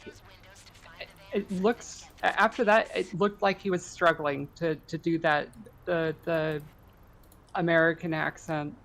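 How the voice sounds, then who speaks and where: A woman's voice: fairly low pitch, fairly even intonation, slow, pauses often, a bit bright, poor audio. Hesitant woman chatting over a game. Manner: hesitant, restarts often. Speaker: friend in group game chat. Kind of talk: casual gaming chat.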